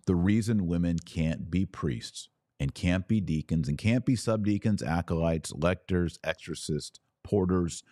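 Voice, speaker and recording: A man with a low voice delivers opinionated religious commentary as a podcast host. He teaches emphatically, posing rhetorical questions and sometimes reading aloud. The sound is dry, with very little room around the voice. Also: dark tone, no echo. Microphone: close mic at a webcam setup.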